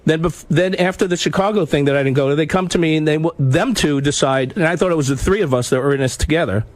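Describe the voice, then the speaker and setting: Highish male voice, dry studio sound, complaining and defending himself, keeps repeating bits. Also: dry recording. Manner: complaining, defensive, halting repetitions. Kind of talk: argumentative casual studio talk.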